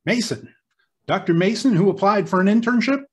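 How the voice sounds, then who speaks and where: A man playing an earnest, flustered hospital superintendent in an old-time radio drama, speaking haltingly and repeating himself. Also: sits high, lively intonation. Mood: startled, flustered.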